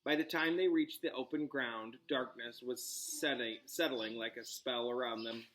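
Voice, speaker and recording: A man reading a storybook aloud like a teacher, expressive and dramatic, pausing on key words. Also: medium pitch, low-quality recording.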